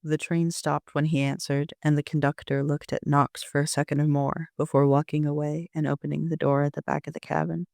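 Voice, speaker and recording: Creaky, even-toned male story narrator. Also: fairly high pitch, fairly quick, hardly any pauses, dry recording, clean studio sound. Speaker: amateur author-narrator. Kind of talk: scripted first-person story narration.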